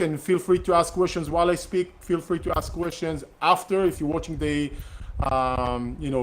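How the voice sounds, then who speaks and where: A middle-aged man whose first language is Hebrew, hosting a casual live question-and-answer session, talking haltingly with many fillers and restarts. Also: a little high, noisy background. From Israel. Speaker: real estate investor hosting a Q&A session. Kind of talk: casual live-streamed talk.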